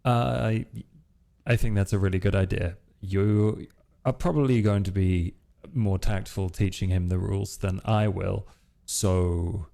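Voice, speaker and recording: A man's voice: low-pitched, fairly even intonation, measured pace, gravelly, mellow. A man playing a guarded, self-assured vampire hacker in improvised role-play, understated and stubborn, with hesitant phrasing. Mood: agreeable.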